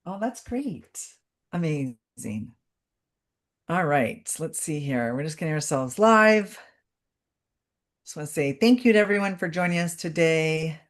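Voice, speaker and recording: A woman's voice: low-pitched, animated, a little slow, long pauses. A woman hosting a live webinar over a video call, chatty and welcoming as she greets everyone. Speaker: webinar host.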